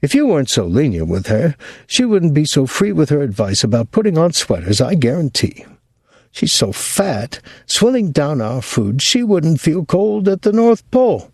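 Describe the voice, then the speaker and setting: A man's voice: on the high side, very animated, normal speed, darkish tone, slightly rough, no room sound, studio quality, voice pushed up, heavily acted. A man reading aloud voices an elderly mother who complains shrilly and melodramatically over the phone. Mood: complaining. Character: fretful complaining elderly mother, older woman.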